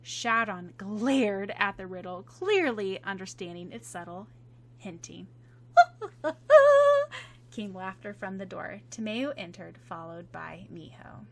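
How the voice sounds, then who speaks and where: A woman narrating a fan-fiction audiobook in a measured, expressive reading voice. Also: medium pitch.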